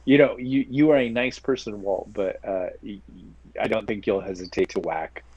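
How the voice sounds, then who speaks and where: A man's voice: lowish voice, normal speed, a little mellow, husky, dry recording, dull audio. A man co-hosting a gaming podcast, telling rambling stories in a casual, joking way. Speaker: podcast co-host. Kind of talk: casual chat.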